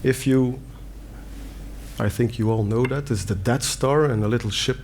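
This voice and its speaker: Brief: middle-aged Belgian man, Dutch-accented, mid-pitched, earnest conference speaker, slight hiss behind. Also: normal speed, a little mellow. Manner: earnest, plain wording with fillers and restarts. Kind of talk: conference talk. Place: conference hall.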